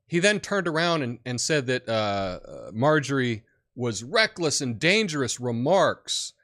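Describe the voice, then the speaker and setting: A man with a big booming voice hosts a commentary show and gives his opinions at an unhurried pace, with plenty of fillers and restarts. His pitch rises and falls in wide, lively swings as he makes his points. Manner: opinionated, rambling with many fillers. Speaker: podcast host. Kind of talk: casual political commentary.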